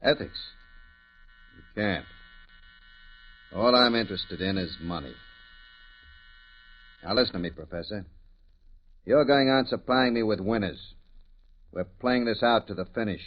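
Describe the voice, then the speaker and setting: A man's voice: on the low side, measured pace, long pauses. A man playing a smug, bullying gambling blackmailer in a 1950s radio drama, with a slangy, commanding delivery. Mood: menacing. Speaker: radio actor. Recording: dull vintage radio recording.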